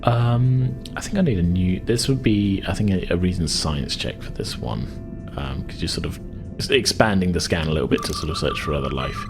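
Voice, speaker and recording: A man improvising as game master in a tabletop game, explaining things in a halting, chatty way. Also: low voice. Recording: music playing behind the voice. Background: background music.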